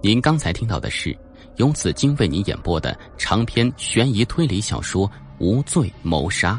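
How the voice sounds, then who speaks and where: Deep-voiced male suspense narrator. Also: very animated, normal speed. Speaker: audiobook narrator. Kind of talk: dramatic scripted suspense narration. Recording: faint background music.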